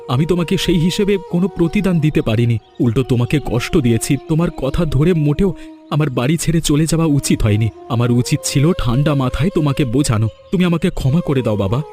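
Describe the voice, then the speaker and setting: A man's voice: medium pitch, warm tone, close to an everyday voice. A man voicing an earnest, devoted young husband and son in a fairy-tale cartoon. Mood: remorseful.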